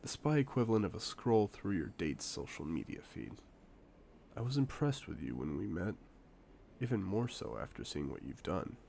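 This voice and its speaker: A man playing a suave, confident secret agent in a spy audio drama, speaking in a playful, theatrical, deliberate way. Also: fairly low pitch, warm tone, slight background noise. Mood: confident, admiring. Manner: smooth-talking, playful, theatrical, deliberate phrasing. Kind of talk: scripted one-sided audio roleplay, spy drama.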